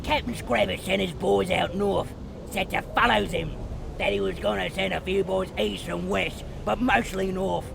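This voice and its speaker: Brief: scrappy storybook goblin grunt, high-pitched, extremely creaky, slangy and crude, ambience behind. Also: male voice, fairly even intonation, normal speed. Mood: reporting to superior. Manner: rough, ungrammatical slangy speech. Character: crude, scrappy male goblin underling, vengeful and eager. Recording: clear ambient noise behind voice.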